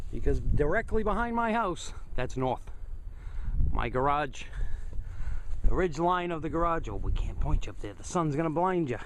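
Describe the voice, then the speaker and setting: A man's voice: a little high, a little hiss or hum, rough recording. A man giving a casual hands-on demonstration to camera, plain-spoken and thinking aloud as he works. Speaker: host of a hobby radio video.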